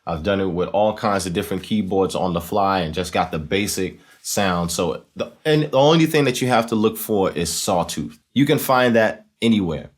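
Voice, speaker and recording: A man with a low-pitched voice hosts a casual tutorial. He explains step by step in a conversational way, often checking that the listener follows. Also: expressive, moderate pace, few pauses. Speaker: host of a talk box tutorial series.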